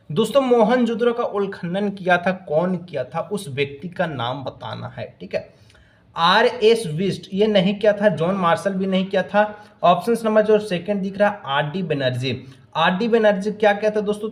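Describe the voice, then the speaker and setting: A man teaching exam questions, working through the answer options aloud and mixing in English phrases. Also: high voice. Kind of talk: explaining multiple-choice answers.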